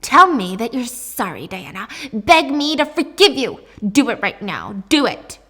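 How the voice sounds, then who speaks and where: A woman's voice: on the high side, expressive, moderate pace, a bit bright. A woman voicing a mean, foul-mouthed mother with an explosive, taunting manner in a dramatic story retelling. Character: ranting, cruel, money-hungry alcoholic mother, volatile, mocking.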